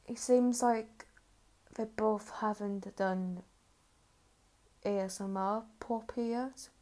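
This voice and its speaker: A woman's voice: mid-range voice, vocal fry, dark tone. A woman giving casual, hesitant reaction commentary as a host, rambling and mildly critical. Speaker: video reviewer and host.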